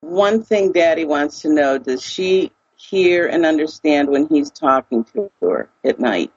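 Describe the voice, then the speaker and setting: A woman's voice: deep voice, animated, unhurried, darkish tone, husky, no room sound. A woman who is a mother at a reading, giving brief, plain answers. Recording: dull, dry recording.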